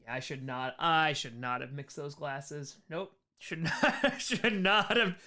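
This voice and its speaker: An American man hosting a casual livestream Q&A, chatting in an animated way and repeating short phrases for emphasis. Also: on the high side, slow pace. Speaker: livestream host answering chat questions.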